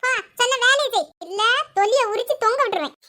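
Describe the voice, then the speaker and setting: A performer with a very high voice playing a harsh, bossy stepmother in a home-made skit who scolds and gives orders in speech. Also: animated, quick pace, full character voice. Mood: sneering. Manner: shrill, bossy, shouts orders.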